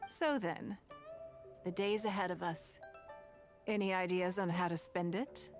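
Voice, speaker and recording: An actress playing a composed, shrewd businesswoman in a video game, speaking in a smooth, measured way. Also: fairly low pitch, steady tone, music in the background, natural voice. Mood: composed, assured. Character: poised, wealthy businesswoman and chess designer, composed and shrewd. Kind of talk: scripted video game dialogue.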